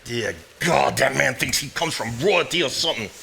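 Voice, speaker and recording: A man's voice: mid-range voice, moderate pace, vocal fry, background noise. A man acting a blunt, needling Southern man with a sardonic drawl in a live stage play. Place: theater stage before a live audience.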